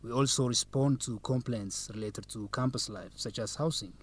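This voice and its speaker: A man playing a confident, teasing young law student in a radio drama, courteous and measured in his delivery. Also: medium pitch, breathy edge, close to an everyday voice. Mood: earnest. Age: young adult. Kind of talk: educational radio drama acting.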